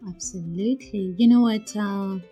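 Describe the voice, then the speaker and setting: Podcast guest: Kenyan-accented woman, 40s, mid pitch, husky, chatty storyteller. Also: moderate pace, mellow, faint music behind. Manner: chatty storyteller, laughs often. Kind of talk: casual interview conversation.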